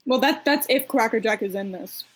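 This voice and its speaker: A woman's voice: a little high, normal speed, over a noisy crowd. A woman chatting casually among friends. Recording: very noisy mic with clicks.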